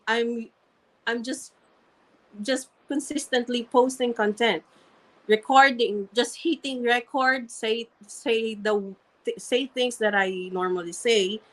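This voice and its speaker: A woman's voice: on the high side, takes it slowly, a bit bright, rough recording. A woman guest with a strong non-native English accent, telling her story earnestly and often repeating and restarting phrases. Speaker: interview guest, registered nurse and online marketer. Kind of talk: candid personal story in conversation.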